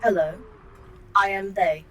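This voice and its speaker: A woman playing a formal, polite artificial intelligence in an audio drama, measured and precise. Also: medium pitch, steady tone, a bit bright, a little hiss or hum, lightly acted. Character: calm, formal, superior artificial intelligence computer. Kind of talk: audio fiction podcast acting.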